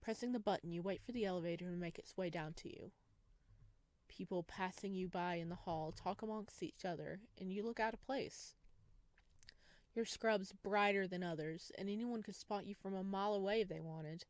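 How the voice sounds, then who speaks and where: A woman's voice: mid-range voice, moderate pace, dry recording. A woman reading a visual novel game aloud in a casual way, adding her own playful asides. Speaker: gaming video creator reading and playing a visual novel.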